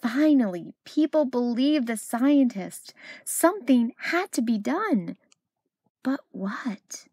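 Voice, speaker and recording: Female picture-book narrator, unhurried and expressive, slightly husky, close dry sound. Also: a little high, dry recording. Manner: deliberate phrasing with dramatic pauses. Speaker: storyteller reading a children's picture book aloud. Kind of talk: expressive read-aloud narration.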